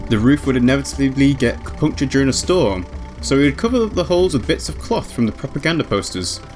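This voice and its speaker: Male let's player, mid-pitched and expressive, reading story narration with music behind. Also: quick pace. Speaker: let's player reading a visual novel aloud. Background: background music.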